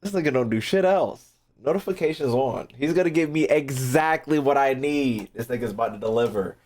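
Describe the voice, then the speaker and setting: A man's voice: medium pitch, brisk, darkish tone. An American man hosting a livestream in casual chat, bantering brashly with viewers, swearing freely and repeating phrases for emphasis. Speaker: streamer hosting a reaction video.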